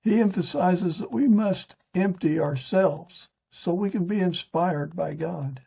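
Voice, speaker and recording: An older man hosting a podcast, reading scripted narration from a teleprompter in a stiff, halting way. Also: sits high, vocal fry, dark tone, husky. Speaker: podcast host. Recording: dull, lo-fi sound.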